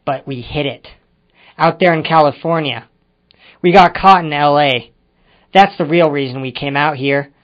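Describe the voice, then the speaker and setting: A man's voice: a little high, normal speed, long pauses, lightly acted. Man playing touchy ex-con in muffled radio drama. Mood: nervous confessing. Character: evasive, touchy male ex-convict confessing to a detective. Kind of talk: old-time crime radio drama dialogue. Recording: muffled amateur radio-drama recording with music.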